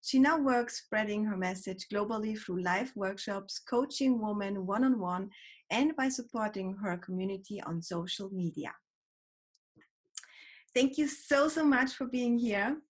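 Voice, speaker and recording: A woman's voice: medium pitch, unhurried, breathy edge. A woman hosting an interview, upbeat and chatty, laughing at times and filling her questions with ums. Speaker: interview host.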